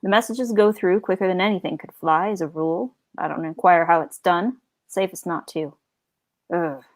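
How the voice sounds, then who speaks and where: A woman voicing a suspicious, sardonic male orc captain who grumbles in rough colloquial speech, in a fantasy storybook. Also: fairly low pitch, close to an everyday voice.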